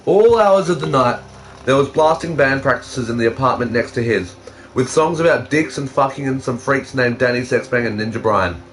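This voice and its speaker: A man's voice: medium pitch, lively intonation, normal speed, rough recording. Man dryly teasing and reading aloud. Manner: dry teasing, swearing. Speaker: co-host reading fan fiction aloud. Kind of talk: casual banter and amateur read-aloud.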